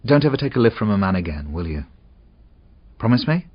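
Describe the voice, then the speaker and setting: Male narrator as troubled young man, volatile and lonely, husky, wide-swinging pitch, storybook drama. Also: big pitch swings, a little fast. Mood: grave, gentle. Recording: dull, muffled audio.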